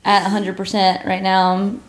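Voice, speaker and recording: A woman's voice: mid-range voice, monotone, normal speed. A thirty-year-old woman chatting casually as a podcast co-host, exclamatory and bubbly, often saying "like". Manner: bubbly, exclamatory, peppers talk with "like". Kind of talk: casual chat. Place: living room sofa at home.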